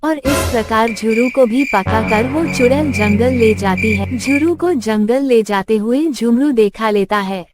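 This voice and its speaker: High-voiced woman narrating over prominent music. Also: unhurried, hardly any pauses. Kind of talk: scripted children's cartoon story narration.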